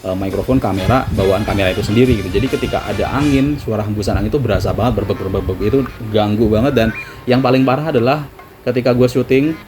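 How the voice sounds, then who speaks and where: A man with a Javanese accent chats rapidly to the camera in a slangy, self-deprecating way. His voice sits low, and clear background music plays under his talk. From Java. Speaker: travel vlogger.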